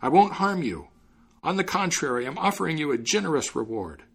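A man voicing a tiny trapped spirit, high, muffled and strained, pleading and wheedling in a storybook tale. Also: big pitch swings, fairly quick, gravelly, slightly rough, heavily acted. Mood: pitiful, wheedling.

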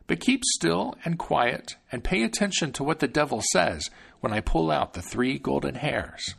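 A man in falsetto as an old grandmother. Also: lively intonation, moderate pace, vocal fry, fairly bright tone, slightly rough, exaggerated voice. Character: kindly scolding old grandmother.

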